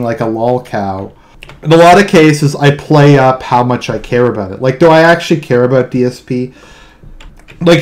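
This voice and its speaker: A man with a deadpan delivery speaks casually in a solo monologue, often repeating words and restarting his sentences. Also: mid-range voice. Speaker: online video commentator. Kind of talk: casual monologue explaining own humour.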